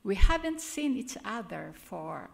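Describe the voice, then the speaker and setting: An older woman with a Filipino accent preaches in a church, earnest and chatty, laughing at herself. Her voice carries a gravelly edge. She speaks at an unhurried pace, with pitch in the middle range. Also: very animated. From Philippines. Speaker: guest speaker. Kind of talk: sermon with prayer.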